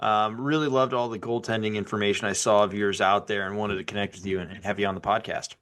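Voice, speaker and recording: Casual low-voiced male podcast host. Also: fairly even intonation, fast, a bit bright. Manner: casual, upbeat, stumbles and restarts often. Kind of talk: podcast interview and ad read.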